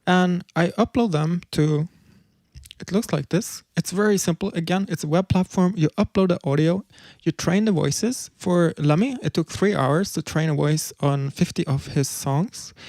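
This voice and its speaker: Male presenter, slightly high voice, casual explainer, dry close mic. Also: normal speed, darkish tone, dry recording. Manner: loose explaining with filler words and restarts. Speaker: presenter demonstrating music tools.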